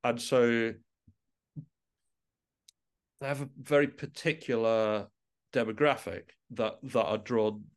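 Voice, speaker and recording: A man's voice: medium pitch, fairly even intonation, slow pace, long pauses. A man being interviewed on a podcast, talking thoughtfully and feeling his way through sentences with repeated restarts. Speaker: interview guest, musician and composer.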